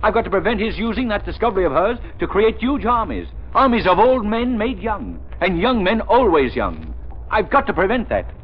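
An actor playing an earnest, determined crime-fighting gentleman in intense, dramatic 1930s radio style. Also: high-pitched, big pitch swings, moderate pace. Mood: fervent. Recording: muffled, noisy 1930s radio recording.

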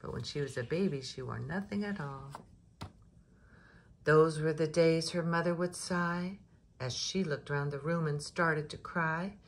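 Female picture-book reader: slow, creaky low voice, rhythmic, chatty asides to kids. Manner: rhythmic rhyming delivery, engaging listeners with questions. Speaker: storyteller reading to young children.

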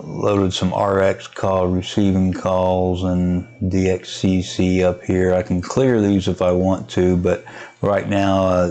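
A man giving a casual, unscripted software tutorial, hesitant and thinking aloud as he goes. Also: sits low. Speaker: amateur radio hobbyist giving a software tutorial. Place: indoors at a computer, voice-over of screen recording.

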